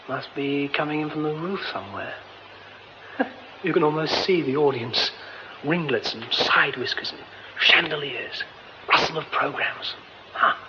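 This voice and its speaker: An actor playing a young man poking around a derelict theatre, muttering to himself as he goes and crying out for help when he falls into a pit beneath the stage. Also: mid-range voice. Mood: musing. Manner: muttering to himself, then panicked shouting. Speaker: radio drama lead. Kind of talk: radio drama acting. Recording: muffled old radio play with hiss.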